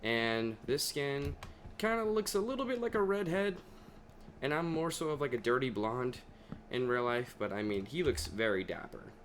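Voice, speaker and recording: A young man chatting casually over his gameplay, his voice deeper than usual and talking to himself as he plays. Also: expressive, normal speed, slight background noise. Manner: chatty, talks to himself while playing. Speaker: gaming video creator.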